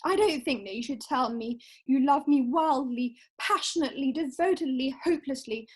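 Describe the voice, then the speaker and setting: A woman's voice: high-pitched, moderate pace, darkish tone, breathy edge. A woman reading a sweet, self-possessed and romantic young lady in an amateur play read-through on a video call. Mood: sweet, prim.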